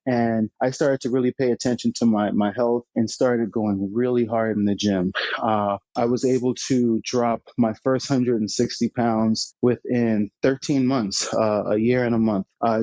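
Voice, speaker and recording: A man's voice: medium pitch, mellow, no echo. Young man, chatty and laughing. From Bahamas. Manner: chatty, laughs often, many "uh" fillers. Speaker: guest, founder. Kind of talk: casual interview storytelling. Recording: slightly dull lo-fi remote audio.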